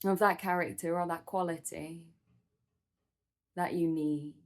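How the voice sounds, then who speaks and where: Very slow-talking woman guiding meditation. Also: fairly low pitch, fairly even intonation. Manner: soothing, gentle, measured instruction with pauses. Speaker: meditation guide. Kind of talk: guided meditation.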